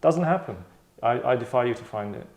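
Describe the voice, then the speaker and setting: In an echoey room, a man with a medium-pitched voice hosts a script reading. He narrates stage directions and talks the scene over informally, repeating phrases for emphasis. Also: expressive, fairly quick, a little mellow. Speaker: writer, director and host.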